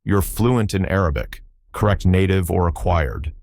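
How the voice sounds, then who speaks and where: A man's voice: sits low, unhurried, darkish tone. A man narrating a storybook voices a poised, guarded female communications manager with a clipped, clinical way of asking.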